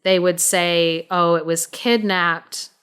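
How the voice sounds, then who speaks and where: A woman co-hosting a podcast, telling a story casually in an informal, halting, explanatory way. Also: on the low side, steady tone, a bit bright, smooth.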